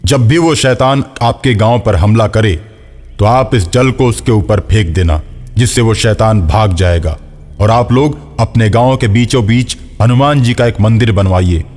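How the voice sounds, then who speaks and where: A man voicing a solemn, kindly holy hermit who gives reassuring instructions in an animated tale. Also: lowish voice, normal speed, in a large hall, faint music behind, lightly acted.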